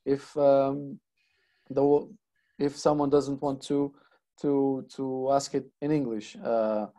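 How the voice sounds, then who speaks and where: A man's voice: mid-range voice, flat delivery, slow, warm tone. A man in his thirties with a Portuguese accent, hosting and interpreting an online seminar over earphones on a video call, hesitant with many fillers and restarts. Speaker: webinar host and interpreter. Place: plain room indoors. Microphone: earphone headset on video call.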